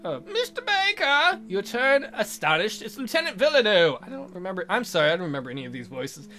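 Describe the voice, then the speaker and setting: A man's voice: high voice, expressive, fast talker, a bit bright, faint music behind. A man hosting a let's play, reading a text adventure aloud with goofy, crude asides.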